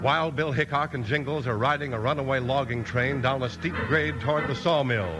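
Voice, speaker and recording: A man's voice: mid-range voice, brisk, over loud music. A man announcing and narrating a 1950s children's radio show with punchy, dramatic, folksy salesmanship.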